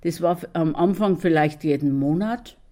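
Interviewee, woman, low mellow voice, chatty storyteller. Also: moderate pace. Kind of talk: recalled anecdote.

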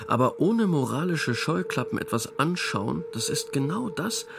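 Radio drama role: self-assured, scornful, domineering man with a creaky, gravelly edge. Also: on the high side, sing-song, moderate pace, background noise. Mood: smug, approving. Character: domineering, cold-blooded man who scoffs at moral qualms. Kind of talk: German radio drama acting.

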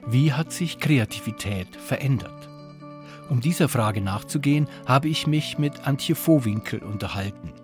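A man's voice: mid-range voice, expressive, moderate pace, music in the background. A man presenting and interviewing for radio in scripted narration and questions.

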